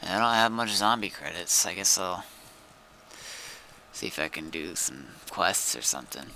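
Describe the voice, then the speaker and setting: A man's voice: low voice, animated, normal speed, pushed and bright, slight background noise, rough recording. A man chatting casually and muttering to himself as he plays a game on stream. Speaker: streamer playing a zombie survival game. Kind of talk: casual gaming chat.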